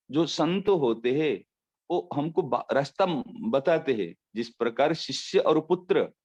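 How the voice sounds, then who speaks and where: A man with a somewhat high voice delivers a devotional talk as a spiritual teacher. He unpacks his points through everyday examples, slowing down to repeat the key phrases. The recording sounds dull and lo-fi. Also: darkish tone. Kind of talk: devotional discourse.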